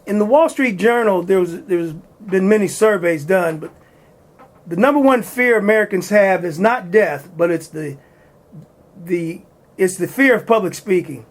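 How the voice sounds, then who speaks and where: A man hosting a community talk show, introducing a speaker with halting restarts. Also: high voice, animated. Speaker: host. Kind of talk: introducing a guest.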